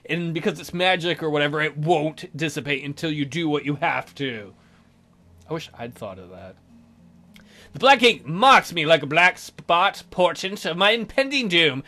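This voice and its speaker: Theatrical high-pitched man, very animated. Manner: theatrical, jokey. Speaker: let's play host. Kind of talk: reading a visual novel aloud with joking asides.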